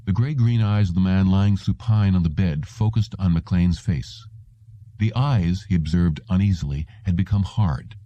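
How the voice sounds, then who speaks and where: A man's voice: deep voice, normal speed, warm tone, no room sound. A man narrating an audiobook in a scripted storytelling style, with dull, muffled audio.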